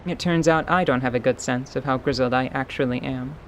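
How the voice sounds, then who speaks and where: A woman playing a world-weary winged tiefling warrior in an audio drama, with a dry, wry, deadpan manner. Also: very deep voice, a little fast, a little hiss or hum. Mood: wry, confessional.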